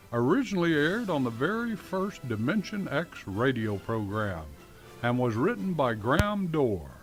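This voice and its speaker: A man hosting and narrating a scripted radio programme in a formal, deliberate, theatrical announcer style. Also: medium pitch. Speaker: host and narrator. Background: music behind the voice.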